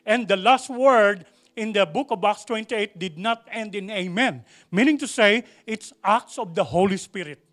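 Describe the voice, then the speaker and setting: A man preaching a sermon to a congregation, repeating phrases for emphasis and asking the church questions. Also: very high voice. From Philippines. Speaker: pastor.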